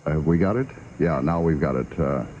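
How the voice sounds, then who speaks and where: A man's voice: rumbling low, moderate pace, darkish tone. A man hosting a 1960s radio talk program, on an old aircheck recording. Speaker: radio talk show host. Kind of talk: 1960s radio interview and announcing. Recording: dull, faintly noisy 1960s radio recording.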